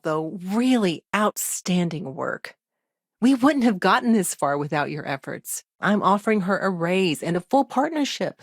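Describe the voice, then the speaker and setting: An actress playing a brisk, dry-witted lawyer in a space colony video game, speaking in a composed, articulate and wry manner. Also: female voice, medium pitch, no room sound, lightly acted. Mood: encouraging. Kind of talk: video game character dialogue.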